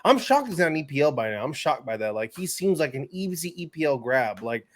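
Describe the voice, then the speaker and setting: A man's voice: on the high side, very animated, fairly quick. A man co-hosting a casual soccer podcast, giving emphatic, slangy opinions in conversation. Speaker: podcast co-host and soccer pundit. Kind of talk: casual sports discussion.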